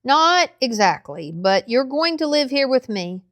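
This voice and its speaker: A woman voicing a kindly, folksy old seaman who is gentle and reassuring, in a storybook reading. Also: high-pitched, very animated, measured pace, no echo, heavily acted. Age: older.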